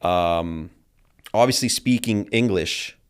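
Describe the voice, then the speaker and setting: A young man born in Italy, whose first language is Italian, chatting casually as a podcast guest and telling stories in a laid-back, animated way with slang. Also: low-pitched, takes it slowly, clear, resonant tone. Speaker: guest chef.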